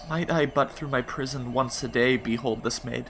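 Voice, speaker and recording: A man playing a lovelorn, grieving young captive prince in Shakespearean audio drama, poetic and deliberate. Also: medium pitch, fairly even intonation, natural voice. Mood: dreamy sorrow. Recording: noisy remote home recording.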